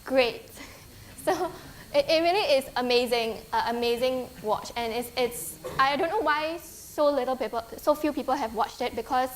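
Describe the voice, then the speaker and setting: A woman in her twenties with a Singaporean English accent speaks to students in a lecture hall. Her voice sits a little high, and she talks in a friendly, earnest way, stopping often for ums and restarts. A faint background hum runs under her speech. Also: moderate pace. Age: 20s. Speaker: guest speaker. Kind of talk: conference talk with slides.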